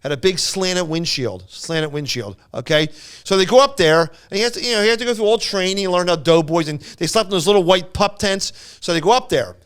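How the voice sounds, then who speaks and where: A man with a smooth, fairly high voice spins a casual story on a podcast. He rambles along, stumbling over words and circling back to repeat phrases. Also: animated, fairly quick, fairly bright tone. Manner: rambling, stammering, repeats himself. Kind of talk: casual anecdote telling.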